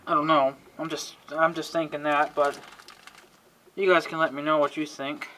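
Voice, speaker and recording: Male DIY video host: bright, forward voice, folksy off-the-cuff rambling, faint noise behind. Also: fairly high pitch, level tone, normal speed. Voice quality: frequent throat clearing. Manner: folksy, muttering to himself, mild exasperated cussing. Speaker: video host showing an antenna assembly. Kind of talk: casual rambling hands-on demonstration. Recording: clearly noisy homemade recording with clicks.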